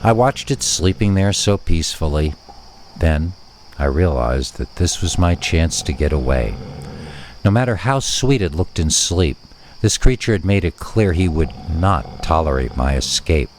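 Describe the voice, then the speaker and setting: Deep-voiced man narrating scary stories. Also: expressive, unhurried, a little mellow, slight background noise. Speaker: horror story narrator. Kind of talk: scripted first-person scary story narration.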